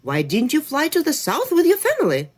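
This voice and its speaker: A woman's voice: sits high, huge pitch swings, a little fast, voice pushed up. Woman voicing a kind, caring fir tree. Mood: curious. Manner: warm and caring.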